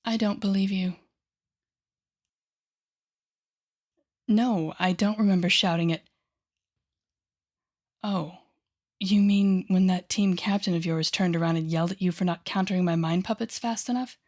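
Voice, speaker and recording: Casting: sardonic supervillainess played by a woman, lowish voice, theatrical and teasing, secretly soft-hearted, intimate audio drama delivery. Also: steady tone, lightly acted. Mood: guarded, defensive. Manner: sardonic, theatrical, teasing, with sighs and deliberate pauses. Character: haughty, sardonic telepathic female villain, secretly soft-hearted. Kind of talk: intimate audio roleplay acting addressed to the listener.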